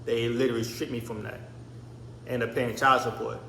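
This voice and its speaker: A man's voice: medium pitch, level tone, a little fast, creaky, pushed and bright, slight background noise. A man in his thirties from Barbados, raised in the US, telling a personal story in a rambling vlog with many restarts. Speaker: vlogger. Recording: echoey room.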